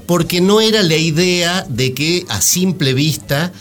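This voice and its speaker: A man's voice: fairly high pitch, lively intonation, a little slow, fairly bright tone. An Argentine man chatting casually and jokingly as a panelist on a radio show. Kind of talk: casual comic radio chat.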